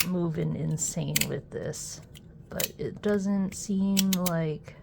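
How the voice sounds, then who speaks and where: A woman with a cold, sniffly, doing an unboxing with a halting, hesitant way of talking and many fillers. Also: on the low side, fairly even intonation, gravelly, noisy background. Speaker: unboxing video host. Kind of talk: casual unboxing commentary.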